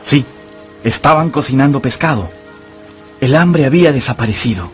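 A man's voice: mid-range voice, expressive, normal speed, faint music behind. A man narrating a radio novel as a scripted, dramatic storyteller.

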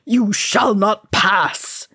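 A woman's voice: fairly low pitch, darkish tone, husky, dry recording, clean studio sound, exaggerated voice. A woman performing a stern, commanding old wizard. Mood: commanding, defiant. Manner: grim, drawn-out declamation. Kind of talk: dramatic storybook character reading.

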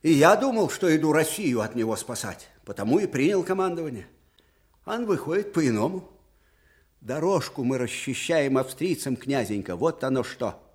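A man's voice: fairly high pitch, a little mellow, close to an everyday voice. An older man playing an ageing, fatherly Russian field marshal with old-fashioned folksy speech in a theatrical radio drama. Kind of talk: Soviet radio drama acting.

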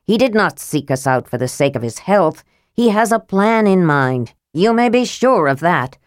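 A woman reading the lines of a solemn male knight who speaks gravely and earnestly. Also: fairly low pitch, very animated, moderate pace, no echo, studio quality.

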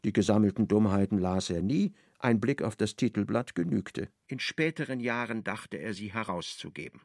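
Gravelly male narrator, close and dry. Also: on the low side, expressive, moderate pace, a little mellow, no room sound. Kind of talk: scripted literary narration.

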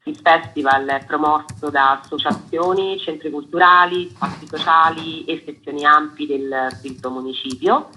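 A man speaking as an organiser in a radio interview, explaining formally and laying out articulate, measured lists. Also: a little high, steady tone, sharp tone, slight background noise, poor audio. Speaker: festival organiser, radio guest.

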